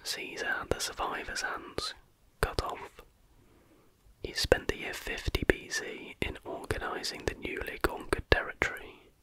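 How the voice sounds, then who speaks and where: A person reading a factual text aloud in an unvoiced, breathy whisper, in a careful, measured ASMR style. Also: pushed and bright, dry recording, low-quality recording. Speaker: reader.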